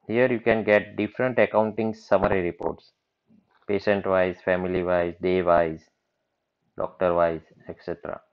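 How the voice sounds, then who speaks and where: A man with a very low voice explains software screens in a plain, matter-of-fact way. The sound is dull and lo-fi, like a basic computer mic. He moves step by step through each screen. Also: steady tone, normal speed. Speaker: software demonstrator. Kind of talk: screen-recorded software walkthrough.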